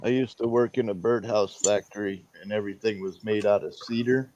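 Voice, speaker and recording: A man with a somewhat low voice tells relaxed stories with dry humor. The audio is dull and lo-fi, with faint background hiss. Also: fairly quick. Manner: easygoing storyteller, dry jokes. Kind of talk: casual chat.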